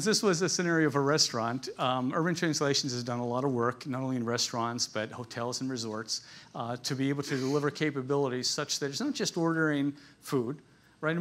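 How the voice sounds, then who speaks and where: A man's voice: medium pitch, fairly bright tone. A man giving an informal business presentation at a conference, talking in a conversational, lightly jokey storytelling way. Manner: conversational, jokey storytelling, chuckles at his own asides.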